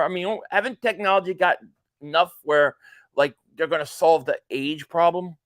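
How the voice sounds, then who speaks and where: A man's voice: high-pitched, fairly bright tone, no room sound, studio quality. A man in his late forties hosting a casual live stream, rambling and joking as he reads viewer comments aloud. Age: 40s. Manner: rambling, chatty, jokey asides. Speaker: live stream host reacting to a football game.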